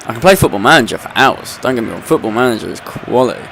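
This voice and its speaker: A man's voice: mid-range voice, lively intonation, fast talker, vocal fry, a bit bright. A young man with a slight cold, ranting in casual gameplay commentary and swearing freely. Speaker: gaming video host.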